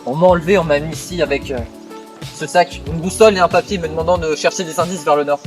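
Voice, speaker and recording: Guy acting earnest young survivor, high voice, talks fast, indie film, faint music. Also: steady tone, close to an everyday voice. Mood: earnest, explaining.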